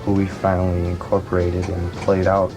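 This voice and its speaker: A man who plays bass, answering casually as a guest on an old 1980s radio recording. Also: monotone, moderate pace, music in the background. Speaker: bass player, interview guest.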